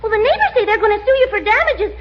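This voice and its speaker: An actress playing a chatty, scatterbrained neighbourhood gossip in a 1940s radio comedy. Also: female voice, very high-pitched, expressive, very fast. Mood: chirpy, gossipy. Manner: breathlessly chatty, dizzy. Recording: muffled, noisy 1940s radio broadcast.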